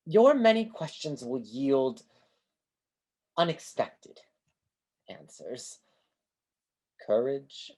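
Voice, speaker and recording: A woman's voice: deep voice, a little mellow, husky, heavily acted. A woman playing a grandiose, kindly street fortune-teller with florid delivery in a staged play reading over a video call. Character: Great Banzini, grandiose theatrical male street fortune-teller, kindly mystic.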